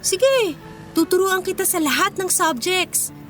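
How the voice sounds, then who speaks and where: A very high, girlish voice plays a poor teenage schoolgirl in a radio drama. She is earnest and emotional, kind but defiant, with music faintly underneath. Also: lively intonation, measured pace, fairly bright tone, heavily acted. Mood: tender, resolute. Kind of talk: Filipino radio drama acting.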